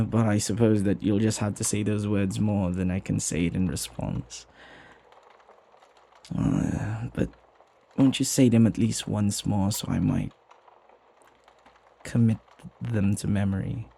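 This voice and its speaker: A flirty, lovestruck butler acted by a man for an ASMR roleplay. His voice is husky and mellow, with very unhurried pacing. He speaks tenderly, teasing and hesitating as he dotes on his beloved master. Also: on the low side, steady tone, full character voice. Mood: tender, flirtatious. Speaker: voice actor.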